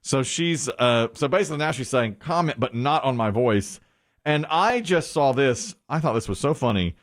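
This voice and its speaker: A man chatting on a morning radio show, his voice swinging lively up and down as he tells a story. He slips into mimicking other people's voices now and then, speaking into a studio mic. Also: mid-range voice, moderate pace, no echo. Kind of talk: casual radio banter.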